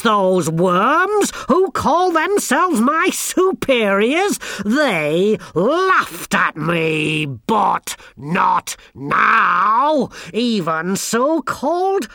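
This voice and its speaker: A pompous male wizard scholar, raving with grandiose megalomania. The voice climbs high and swings wildly, drawing out its words with indignant emphasis. It is a fantasy storybook villain in a dry, close recording. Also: very animated, pushed and bright, no room sound, full character voice. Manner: grandiose, indignant, overwrought, drawn-out emphatic delivery.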